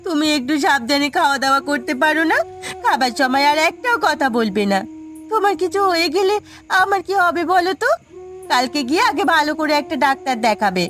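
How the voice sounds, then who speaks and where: A woman's voice: sits high, lively intonation, fairly quick, fairly bright tone. A woman voicing an anxious, devoted village wife in a cartoon, fretful and coaxing as she fusses. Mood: tearful scolding. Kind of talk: cartoon voice acting. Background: background music.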